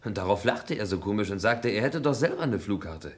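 An actor playing an earnest, hesitant young witness in German radio crime drama, polite and halting. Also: male voice, fairly low pitch, lively intonation, natural voice.

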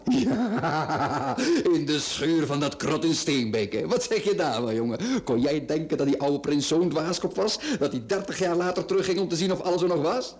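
An actor playing a cynical, scheming middle-aged swindler in a radio drama, sly and mocking in manner. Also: male voice, a little high, animated, normal speed, hardly any pauses, darkish tone, breathy edge. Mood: mocking, nostalgic.

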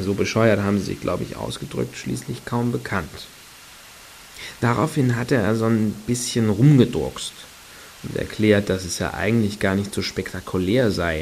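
A man's voice: fairly low pitch, gravelly, darkish tone, a little hiss or hum. An actor playing a wry, rambling male storyteller in a radio satire, recounting conversationally with hesitations. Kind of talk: conversational first-person monologue.

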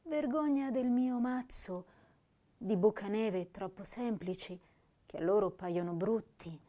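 Young female poet for stage recitation: mid-pitched, very unhurried, melancholy, emotive, deliberate phrasing. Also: dark tone. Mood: melancholy, self-conscious. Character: sensitive, melancholy young woman poet speaking her verse. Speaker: actress reciting poetry. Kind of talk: dramatic poetry recitation.

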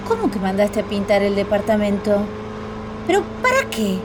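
A woman's voice: medium pitch, very animated, moderate pace, natural voice. A young woman playing a sleepy, evasive actress from a touring troupe in a radio drama, with an Argentine accent. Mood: upset. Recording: noisy vehicle ambience.

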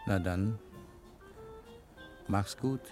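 An actor playing a cheeky, daredevil gentleman thief with an unhurried, jaunty manner in a 1960s German radio crime drama. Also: on the low side, faint music behind. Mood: jaunty, calm.

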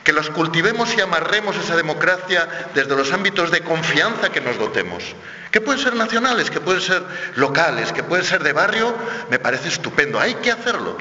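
Panelist, man from Spain, lively and slangy, a bit high, dull lo-fi sound. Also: fairly quick. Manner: animated, colloquial, emphatic. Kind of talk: round-table debate. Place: theatre school hall. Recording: echoey hall, dull sound.

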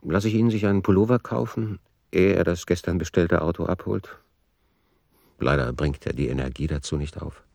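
An actor playing a sober, matter-of-fact narrator of a radio play, reporting in measured, precise like someone reading out a case file. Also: male voice, low voice, vocal fry, a little mellow, dry recording, clean studio sound. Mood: detached, factual.

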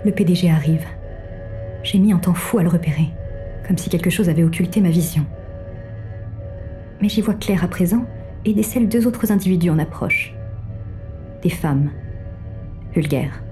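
A cool, secretive female machine mind voiced by a woman narrates in measured, literary phrases. Her voice sits slightly low and is very mellow. Faint music runs behind this audio drama performance. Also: lightly acted. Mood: cold, alert. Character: female artificial intelligence, covert, cool, calculating, exultant. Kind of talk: scripted audio drama narration in first person.

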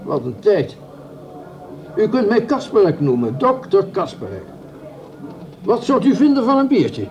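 A jobless male doctor of law in a radio play, a scheming gentleman who looks down on others. His voice is high for a man and mellow, swinging widely in pitch. He speaks with formal, pompous courtesy. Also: huge pitch swings, a little slow, pauses often, highs cut off, heavily acted. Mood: self-important.

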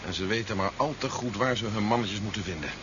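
A steady, commanding general in a seventies sci-fi radio play, acted by a man. His voice is low and measured, with fatherly authority. The recording is dull with a faint noise under it. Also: lightly acted. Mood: grave, businesslike. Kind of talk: 1970s Dutch science fiction radio drama acting.

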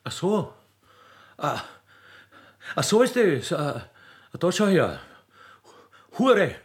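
A man's voice: fairly high pitch, huge pitch swings, drawn-out words, pitched above the performer's own voice, exaggerated voice. A man playing a furious, threatening country poacher in a comic radio play. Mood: bitter rage.

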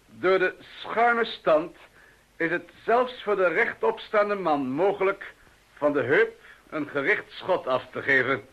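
An actor playing a formal, deliberate arms salesman with quiet deadly intent in a radio play. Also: high voice. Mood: formal, polite. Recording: dull old radio recording.

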